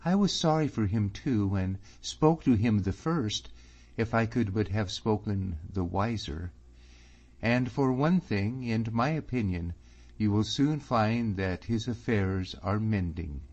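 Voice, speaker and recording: A man's voice: on the low side, expressive, measured pace, a little mellow. A man reading an earnest, anxious young man. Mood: uneasy. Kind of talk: audiobook character voice. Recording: slightly muffled recording.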